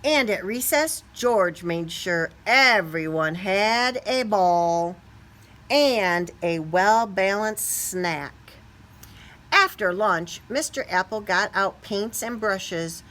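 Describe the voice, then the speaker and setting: Lady reading a kids book out loud, slow n bright, really expressive, draws words out. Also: mid-range voice. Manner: expressive, stretches key words, asks listeners questions. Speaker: storybook reader. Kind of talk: animated children's picture book read-aloud.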